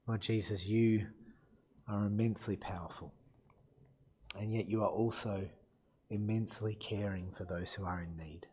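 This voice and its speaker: Male preacher, croaky from a cold; warm low tone, casual delivery with ums. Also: moderate pace, long pauses, slight background noise. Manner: self-deprecating, relaxed, with fillers. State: croaky from illness. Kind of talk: sermon with Bible reading.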